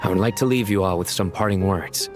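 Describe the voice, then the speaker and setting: A man's voice: sits low, brisk, over music, natural voice. An actor playing a theatrical, earnest male speechmaker in a video game, with a dramatic, declamatory manner. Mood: solemn, grand. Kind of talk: video game character dialogue.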